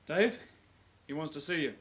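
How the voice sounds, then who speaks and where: An actor playing a young British detective constable in a radio drama, a calm sidekick with a measured, official way of talking. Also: male voice, on the high side, background noise, close to an everyday voice. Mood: low-key. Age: young adult.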